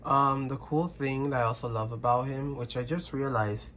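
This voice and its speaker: A slightly hoarse man, half sick, casually reviewing a prop in a bedroom with frequent throat clearing and restarts. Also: medium pitch, steady tone, moderate pace, fairly bright tone, a little hiss or hum.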